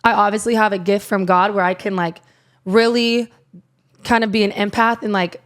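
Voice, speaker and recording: A young woman from Iowa with a raspy voice, chatting casually as a podcast guest, full of filler words. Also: mid-range voice, fairly even intonation, moderate pace. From Iowa, USA. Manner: rambling, lots of "like" fillers. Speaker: guest, country singer. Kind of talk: casual interview chat.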